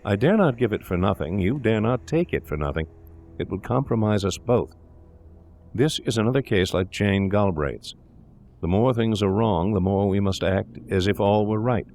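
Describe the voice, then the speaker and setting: Man playing a cold, insistent young doctor-murderer, low-voiced. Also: lively intonation, normal speed, a little mellow. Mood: coolly insistent. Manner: insistent, controlled, menacing. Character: stern, coldly calculating young male doctor and murderer. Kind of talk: audio drama acting in a gothic tale.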